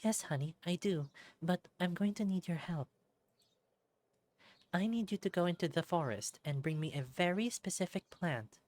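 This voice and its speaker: A narrator voicing a gentle, caring mother fox healer in a visual novel, soft, tender and serious. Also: mid-range voice, moderate pace, voice pushed up, lightly acted.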